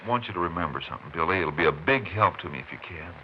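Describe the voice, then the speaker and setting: A laconic low-voiced Texas Ranger. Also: male voice, animated, racing. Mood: gentle, reassuring. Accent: American. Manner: laconic, folksy, clipped phrases. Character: steady, friendly Texas Ranger on a case. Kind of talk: 1950s western radio drama acting. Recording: muffled, noisy 1950s radio recording.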